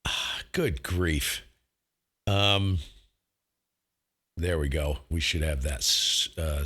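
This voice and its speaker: A man's voice: low voice, bright, forceful tone. A man chatting casually over ham radio in an enthusiastic, emphatic way.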